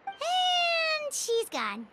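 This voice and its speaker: A woman's voice: very high voice, huge pitch swings, very slow, heavy background noise, heavily acted. A woman voicing a small, childlike, cheerful and curious fairy companion in a video game, cute and eager. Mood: concerned. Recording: music playing behind.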